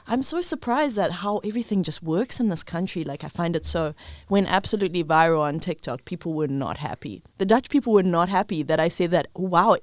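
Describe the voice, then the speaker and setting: A young woman from Zimbabwe hosting a casual podcast chat. She tells stories in an animated way and acts out other people's voices playfully. The sound is close and dry. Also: fairly low pitch, no echo. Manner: animated, quick storytelling with playful quoted voices. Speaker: podcast host.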